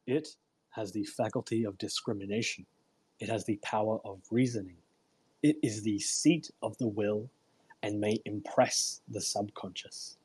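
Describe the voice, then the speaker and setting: A man with a husky voice hosting a read-aloud, delivering a book in an emphatic, deliberate way with informal commentary. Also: on the low side, darkish tone, poor audio. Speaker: host reading a self-help book aloud. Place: live online audio room broadcast.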